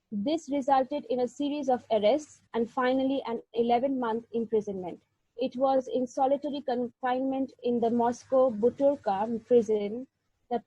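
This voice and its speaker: A woman lecturer with a fairly high, mellow, breathy voice, speaking in a measured, deliberate manner. She reads verse and narrates a lesson. The recording is dry and a little dull and lo-fi. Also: no room sound. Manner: measured, deliberate delivery with occasional slips. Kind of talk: illustrated lecture with poetry reading. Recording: dull, lo-fi sound.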